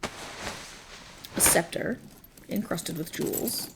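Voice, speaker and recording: An imperious, menacing dragon queen, acted by a woman in a fantasy ASMR roleplay. Her voice is low and gravelly with creak, and it swings widely in pitch as she commands in formal, regal phrases. She sounds proud and possessive. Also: lively intonation, extremely slow, heavy background noise, heavily acted. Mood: scrutinizing. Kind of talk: dramatic ASMR roleplay acting.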